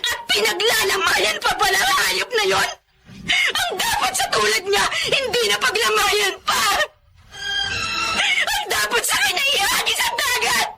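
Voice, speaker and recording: Very high-voiced bitter Filipino daughter. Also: female voice, very animated, unhurried, gravelly, loud music behind the voice, exaggerated voice. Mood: furious. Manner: resentful, emotional, sharp-tongued. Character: bitter, wounded young adult daughter, quick to anger. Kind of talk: Filipino radio drama acting.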